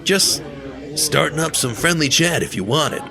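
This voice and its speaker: An actor playing a friendly, talkative young bartender with a laid-back manner, in an audio drama with music underneath. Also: male voice, a little high, expressive, moderate pace, gravelly, crowd noise. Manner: laid-back, talkative, says bro a lot. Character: friendly chatty male bartender who calls everyone bro.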